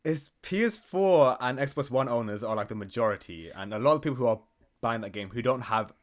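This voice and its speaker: Jokey, slangy man with a mid-pitched voice. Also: animated, fairly quick. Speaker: podcast host. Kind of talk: casual gaming podcast banter.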